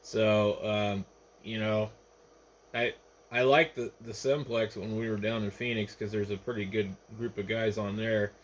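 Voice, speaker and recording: A man's voice: fairly low pitch, fairly even intonation, unhurried. American man chatting over noisy ham radio. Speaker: amateur radio operator. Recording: noisy ham radio transmission, static behind.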